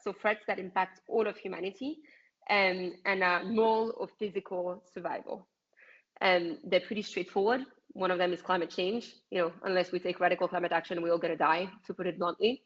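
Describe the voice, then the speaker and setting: A woman's voice: mid-range voice, fairly bright tone, muffled recording. A woman from France with a French accent, giving blunt, passionate interview answers over a video call, with frequent ums. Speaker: activist guest.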